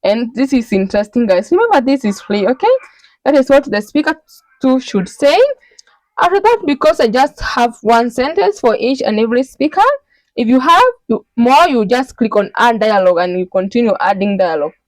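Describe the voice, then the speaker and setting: A woman with a fairly high voice walks listeners through a software tutorial one step at a time. She is instructional and often checks that they are following. The recording is dry, with very little room sound. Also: animated, normal speed, no echo, poor audio. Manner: instructional, repeatedly checking in with "okay". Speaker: tutorial host.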